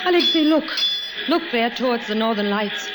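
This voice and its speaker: A woman's voice: on the high side, expressive, moderate pace, heavy background noise, natural voice. An actress playing an anguished, noble married Russian society woman in love, pleading in old radio melodrama style. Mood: agitated, pleading. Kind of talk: mid-century radio melodrama acting. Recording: vintage radio broadcast.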